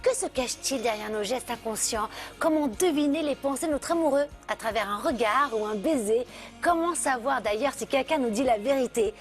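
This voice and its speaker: Woman host, slightly high voice, chatty and upbeat, lots of fillers. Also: brisk, faint music behind. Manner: chatty, enthusiastic, many fillers. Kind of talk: magazine show interview.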